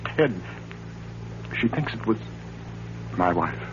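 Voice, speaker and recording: An actor in a 1940s radio thriller playing a troubled, desperate man who confides in a halting, intense way. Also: fairly low pitch, very animated, background noise. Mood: urgent, desperate. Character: troubled man desperate to uncover his uncle's death. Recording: muffled old radio broadcast, music behind.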